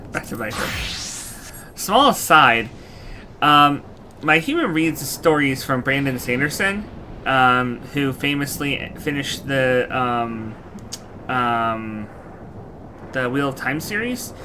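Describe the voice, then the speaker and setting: A man giving casual, rambling let's play commentary, halting and repeating himself as he searches for words. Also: mid-range voice, bright, forceful tone, slight background noise. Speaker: game streamer. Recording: music behind the voice. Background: game music.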